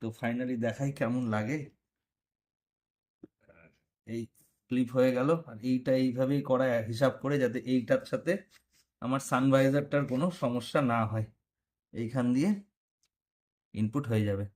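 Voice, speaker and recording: A man's voice: medium pitch, fairly even intonation, normal speed, a little mellow. A man narrating a hands-on motorcycle vlog tutorial casually, talking viewers through each step in an informal way. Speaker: motorcycle vlogger.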